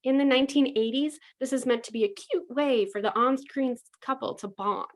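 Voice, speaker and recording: A woman's voice: high-pitched, moderate pace. A woman narrating a scripted comedy with sassy, mock-dramatic delivery.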